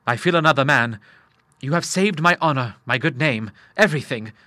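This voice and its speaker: A man's voice: medium pitch, expressive, normal speed, sharp tone, no echo. Man plays a troubled young city man, earnest and formal, dry close-miked read.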